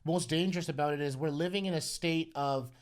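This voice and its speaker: A man with a somewhat raised pitch talks through a casual podcast discussion. He is earnest and articulate. He piles clause on clause as he builds each point. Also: a little fast, smooth. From Caribbean family background. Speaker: podcast co-host.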